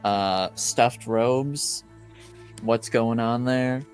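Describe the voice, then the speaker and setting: A man's voice: medium pitch, extremely slow, clear, resonant tone, faint music behind. A man voicing a mild, agreeable, bashful male escort in a tabletop game, with a hesitant, polite manner. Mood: mild, deadpan.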